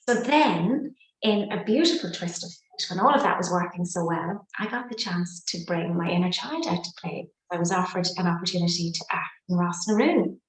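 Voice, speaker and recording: A woman's voice: on the low side, lively intonation, normal speed, echoey room, low-quality recording. An Irish woman in her fifties, a psychotherapist and coach, talking fluently and expressively as an interview guest, with folksy sayings. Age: 50s. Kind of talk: reflective interview talk.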